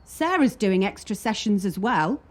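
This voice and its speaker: A woman's voice: mid-range voice, animated, measured pace. A woman acting in a radio play as a young gymnast confiding with her wary teammate. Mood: probing, frank.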